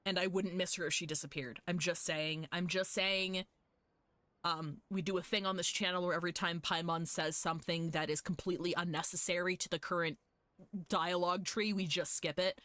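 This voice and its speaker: A woman's voice: lowish voice, fairly even intonation, a little fast, a bit bright, no echo. Girl live streaming a game, chatting casually, blunt and opinionated, dry mic.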